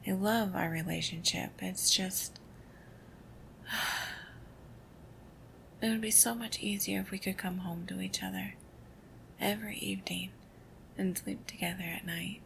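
A woman doing a whispered ASMR girlfriend roleplay, soft, intimate and affectionate. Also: medium pitch, steady tone, measured pace, gravelly, long pauses, slight background noise, poor audio.

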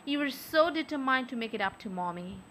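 A young adult woman speaking softly and close to the mic in an intimate audio roleplay, with a teasing, coaxing manner. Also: high voice, lively intonation, a little hiss or hum. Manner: soft, slow, teasing and coaxing.

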